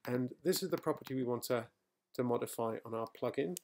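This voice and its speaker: A middle-aged man recorded at home, talking through a software tutorial as he types, with fillers and quick self-corrections. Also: mid-range voice, a little hiss or hum. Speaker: software trainer. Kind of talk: screencast coding tutorial. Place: quiet home room.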